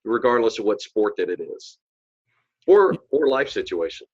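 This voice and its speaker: A man from Texas, a coach and podcast guest, speaking with a warm, genuine, measured delivery. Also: lowish voice, big pitch swings, husky, dull audio. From from the Rio Grande Valley, Texas. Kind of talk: interview conversation.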